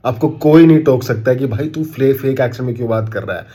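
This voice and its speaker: A man with an Indian accent delivers an indignant opinion piece straight to camera. His tone stays sarcastic and exasperated throughout, in a middle pitch. He flips between Hindi and English from one phrase to the next. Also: fast, dark tone, breathy edge. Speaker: commentator.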